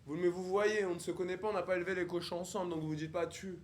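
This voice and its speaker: A man's voice: high-pitched, steady tone, fast talker, close to an everyday voice. A young man livestreaming, putting on a haughty, snobbish young posh man who mocks strangers in affected formal speech. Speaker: livestreamer on a video chat app. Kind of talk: improvised character roleplay with strangers.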